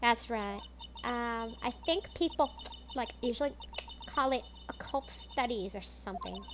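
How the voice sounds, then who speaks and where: A woman's voice: on the high side, a little slow, background noise, close to an everyday voice. A woman voicing a ditzy valley-girl college student who fills every sentence with "like". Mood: airy. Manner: airy, filler-heavy, says "like" constantly. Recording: game music behind voice. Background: video game music.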